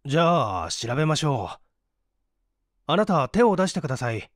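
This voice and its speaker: A woman's voice: low-pitched, very animated, moderate pace, no echo, studio quality. A woman voicing a hungry, jobless man who is brazen and wry, with polite but pushy old-fashioned speech, in a story reading. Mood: insistent.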